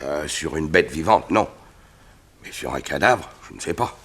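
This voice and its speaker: An actor playing a shrewd, self-serving scientist and secret doper in a 1960s French radio drama, articulate, emphatic and self-possessed. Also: male voice, very deep voice, expressive, normal speed, fairly bright tone, husky, heavily acted. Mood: exasperated. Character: shrewd, self-serving male scientist who secretly makes horse dope.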